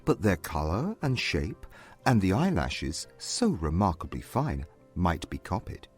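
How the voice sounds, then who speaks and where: A woman voicing a proud, reserved young Regency gentleman with stiff, measured courtesy in an audiobook. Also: extremely low pitch, big pitch swings, slightly rough, soft background music, pitched below the performer's own voice, exaggerated voice. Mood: grave, formal. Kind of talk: dramatized audiobook dialogue.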